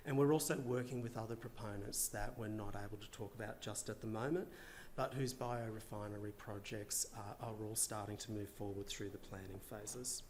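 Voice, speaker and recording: Hoarse man giving a panel talk. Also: fairly low pitch, steady tone, darkish tone, slight background noise. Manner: measured, partly read remarks with frequent uhs. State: losing his voice. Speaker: government official. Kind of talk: conference panel presentation.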